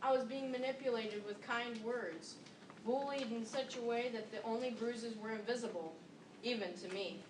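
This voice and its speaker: A woman's voice: a little high, normal speed, distant mic. Schoolgirl reciting from afar, echoey and noisy. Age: about 12 to 13, seventh grader. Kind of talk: readers theater recital of a scripted monologue. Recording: echoey room from afar, clear noise.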